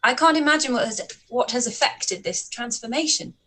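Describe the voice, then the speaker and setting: A woman playing a young gentlewoman in a radio play, polite but halting and stumbling over her words. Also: fairly high pitch. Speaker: actor in a live-read radio play. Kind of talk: period radio play acting.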